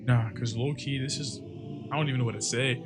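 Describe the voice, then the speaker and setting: A man's voice: medium pitch, steady tone, a little fast, over loud music. A man, giving casual, slangy reaction commentary as a video host.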